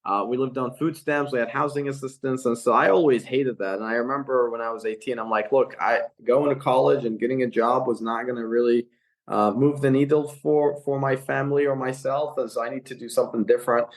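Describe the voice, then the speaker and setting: A man's voice: medium pitch, fairly even intonation, brisk. Earnest young man telling his story. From Iraq. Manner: earnest storytelling with frequent fillers and restarts. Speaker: guest, real estate investor. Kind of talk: casual podcast interview. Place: California.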